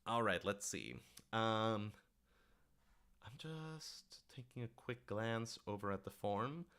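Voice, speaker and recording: A man's voice: fairly low pitch, big pitch swings, slow, a bit bright, clear, resonant tone. A man speaking casually and earnestly on a question-and-answer livestream, enthusiastic and given to rambling asides. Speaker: writer-director answering audience questions.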